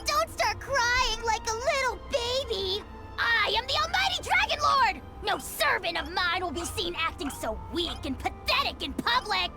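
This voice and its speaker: Pint-sized bullying dragon overlord for a game, high, bombastic, swooping, loud music behind. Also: male voice, full character voice. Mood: mocking. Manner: bombastic, mocking. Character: tiny, pompous, bullying self-proclaimed dragon lord. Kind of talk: cartoonish game character dialogue.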